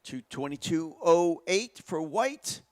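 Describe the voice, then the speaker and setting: A man's voice: fairly high pitch, lively intonation, slow. A man giving live track race commentary in a conversational way that builds with the action.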